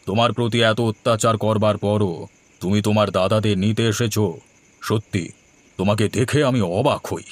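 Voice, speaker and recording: A man voicing a solemn, humble holy hermit in a cartoon, measured, formal and admonishing. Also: lowish voice, steady tone, no room sound, close to an everyday voice. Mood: approving, calm. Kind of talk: Bengali moral-tale cartoon voice acting.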